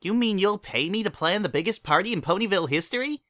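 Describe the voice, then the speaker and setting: A man's voice: high voice, lively intonation, fast talker, sharp tone, dry recording, pitched above the performer's own voice. A man voicing a bubbly, hyperactive young female cartoon pony party planner, gushing and zealous as she chatters. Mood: eager.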